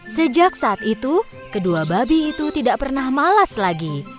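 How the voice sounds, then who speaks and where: High-pitched, animated woman storyteller over music. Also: normal speed. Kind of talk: scripted children's story narration.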